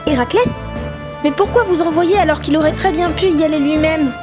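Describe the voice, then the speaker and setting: Woman playing a very high-pitched, indignant young nymph. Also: a little fast, over music, lightly acted. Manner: indignant, theatrical. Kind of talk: amateur audio drama acting.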